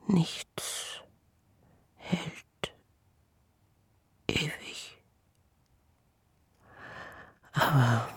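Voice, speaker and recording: An actress playing a troubled woman haunted by experiments, in an intimate, breathy, halting radio drama monologue. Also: a bass voice, exaggerated voice. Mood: resigned. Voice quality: breathy, whispery. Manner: slow, hushed, halting delivery. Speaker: actor.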